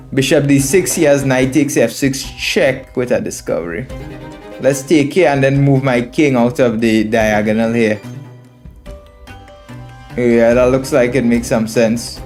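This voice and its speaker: A man's voice: mid-range voice, measured pace, darkish tone. A man with a Trinidadian accent giving live game commentary as an instructor, thinking aloud, repeating phrases and addressing viewers as friends. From Trinidad and Tobago. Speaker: chess streamer and instructor. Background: background music.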